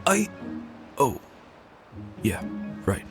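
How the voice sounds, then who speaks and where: A man's voice: extremely slow, fairly bright tone. A man voicing a bashful, good-natured male pegasus pony with a hesitant, wry manner in a fan fiction reading. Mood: flustered. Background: music.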